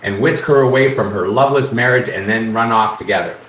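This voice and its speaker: A man playing a shrewd, folksy police inspector in a radio play, deliberate and accusing as he lays out a case. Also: on the low side, a bit bright, lightly acted. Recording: echoey room with faint noise.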